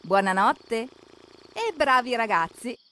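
High, lively woman narrator. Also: slow pace, slight background noise. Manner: expressive, questioning, addresses characters directly. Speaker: narrator of a children's cartoon. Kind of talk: animated children's storytelling, talking to the characters.

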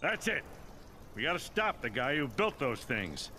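An actor playing an earnest, hearty middle-aged superhero in an animated video game, friendly, eager and plain-spoken. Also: male voice, mid-range voice, a little slow, a little hiss or hum. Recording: loud music under dialogue. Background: loud music.

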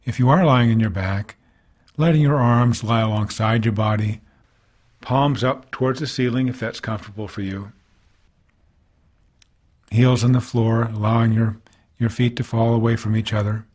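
Husky-voiced man guiding a meditation. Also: mid-range voice. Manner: calm, unhurried, gently instructive, pausing between phrases. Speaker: meditation teacher. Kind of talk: guided body scan meditation narration.

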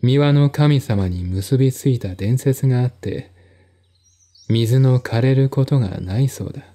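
A man reading literary narration in a measured, deliberate, formal manner, as in an audio drama. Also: medium pitch, warm tone. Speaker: narrator, solo audiobook reader.

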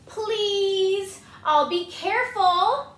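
A woman voicing a pleading, scheming, excitable storybook pigeon with a dramatic, drawn-out delivery. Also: extremely high pitch, voice pushed up, full character voice. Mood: wheedling. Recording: roomy home recording, some noise.

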